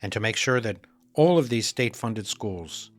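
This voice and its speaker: Low-voiced man hosting. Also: lively intonation, moderate pace, faint music behind. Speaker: podcast host, rabbi. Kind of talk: scripted introduction and interview.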